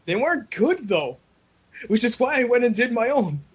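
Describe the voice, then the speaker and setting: A man co-hosting a casual group podcast, chatting in a jokey, animated way and swearing freely. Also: extremely high pitch, normal speed, slightly rough. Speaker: podcast co-host. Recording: dull lo-fi voice chat.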